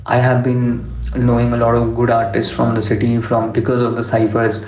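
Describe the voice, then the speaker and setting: A young man from Delhi, a rapper, answering interview questions in a measured way, with many fillers like "you know" and "uh". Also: mid-range voice, completely flat delivery, darkish tone. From Delhi, India. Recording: echoey room, dull lo-fi sound.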